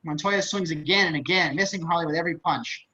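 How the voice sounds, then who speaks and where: A man's voice: fairly high pitch, fast, pushed and bright. A man reading out stage directions as narrator of a script table read over a video call. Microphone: computer on a video call. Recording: dull, lo-fi video-call audio.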